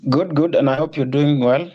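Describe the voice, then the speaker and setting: A man in his twenties from Kenya, a guest on a political talk show, speaking earnestly and a little haltingly. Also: on the high side, fairly even intonation, muffled recording. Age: 20s. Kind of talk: casual interview talk.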